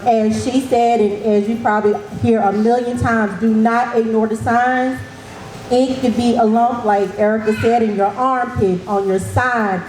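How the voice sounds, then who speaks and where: A woman's voice: on the high side, dark tone. A woman earnestly shares her story and urges the audience, repeating her key points. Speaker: cancer survivor speaking at an event. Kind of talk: personal testimony and advice to an audience. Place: event hall. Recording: echoey hall with faint noise.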